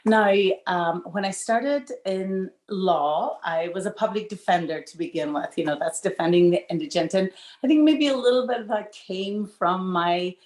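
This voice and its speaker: A woman, a lawyer telling stories warmly on a video call from home. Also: mid-range voice, moderate pace, echoey room. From Northern Ireland. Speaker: estate planning lawyer, guest. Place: home room with shelves. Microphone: video call webcam.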